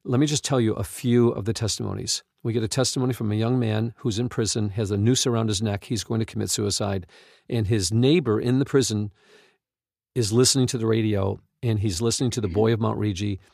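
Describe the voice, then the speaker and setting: Earnest man with a gravelly edge. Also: fairly low pitch, steady tone, a little fast, darkish tone, no room sound, studio quality. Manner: earnest, emphatic, exclamatory. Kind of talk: unscripted conversational talk with testimonies.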